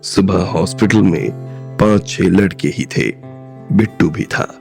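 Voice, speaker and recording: A man narrating an audio drama in a steady, scripted storytelling manner. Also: sits low, a little slow, gravelly, soft background music.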